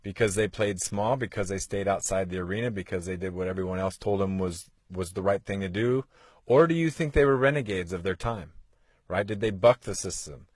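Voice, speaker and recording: A man in his forties gives a motivational podcast talk in a fairly low voice. He sounds earnest and coaxing, and he leans on rhetorical questions. The close mic gives a dry, intimate sound with no room echo. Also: fairly quick, slightly rough. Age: 40s. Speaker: podcast host.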